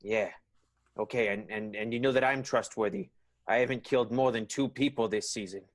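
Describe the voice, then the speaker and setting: A man's voice: on the low side, fairly even intonation, fairly quick, natural voice. A man playing a flustered, earnest vaudeville theater boss, stammering and hesitant, in an improvised radio play.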